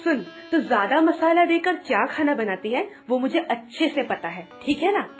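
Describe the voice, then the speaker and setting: A woman's voice: sits high, very animated, normal speed, faint music behind. A woman playing a bossy, mocking elder sister-in-law who scolds and taunts theatrically in a cartoon story. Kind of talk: Hindi animated moral story acting.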